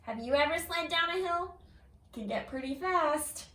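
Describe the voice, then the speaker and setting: A woman reading a picture book aloud like a kindergarten teacher, expressively, pausing to put questions to young listeners. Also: high voice, lively intonation, sharp tone. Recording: roomy echo, distant from the mic.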